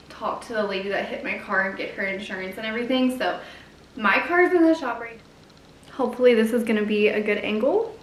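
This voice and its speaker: A woman in her thirties, a home vlogger and mom, chatting casually in a soft, quiet, gentle voice. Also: medium pitch, slight background noise. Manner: gentle, earnest, chatty with fillers. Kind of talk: casual chat to camera. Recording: very echoey room, from a distance.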